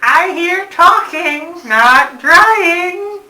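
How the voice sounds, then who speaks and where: Woman acting a shrill, echoey household nag. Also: expressive, drawn-out words, full character voice. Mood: scolding. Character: nagging, suspicious woman of the household, calling out. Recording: echoey room, shouted from off.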